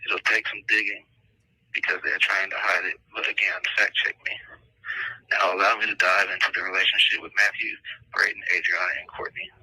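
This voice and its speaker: Inmate guy on a recorded phone call, super rough husky voice, barely varies pitch, reading out his statement plainly. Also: flat delivery, a little fast, pushed and bright. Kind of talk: prepared personal statement. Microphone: telephone. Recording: raspy, harsh recorded jail phone call.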